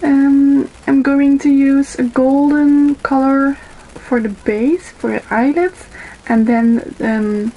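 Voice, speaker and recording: A young woman in her twenties with a Dutch accent, whose first language is Dutch, giving a makeup tutorial into a webcam in a small bedroom, pausing often with ums as she works. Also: high voice, fairly even intonation, lo-fi sound. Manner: unhurried, with frequent ums and pauses while applying makeup. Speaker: beauty vlogger. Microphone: webcam in front of her.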